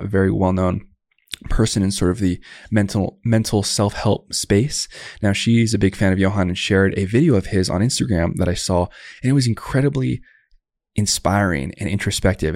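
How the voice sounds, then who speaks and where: A man's voice: low-pitched, a little mellow, dry recording, studio quality. A young man hosting a podcast, reading his own workshop promotion and then eagerly welcoming a guest over a remote call. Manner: enthusiastic, effusive praise. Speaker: podcast host. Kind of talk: podcast ad read and interview.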